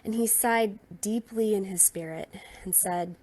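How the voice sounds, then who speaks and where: A woman reading a Bible narrative aloud in an expressive storytelling way. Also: medium pitch, measured pace. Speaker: scripture reader.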